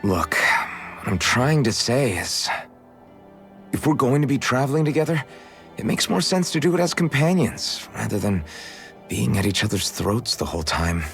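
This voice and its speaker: An actor playing an earnest young male warrior in a game, a patient peacemaker with a measured way of talking. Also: medium pitch, sing-song, vocal fry, a bit bright. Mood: hesitant, earnest. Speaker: game character voice. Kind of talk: scripted English game voice acting. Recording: background music under dialogue.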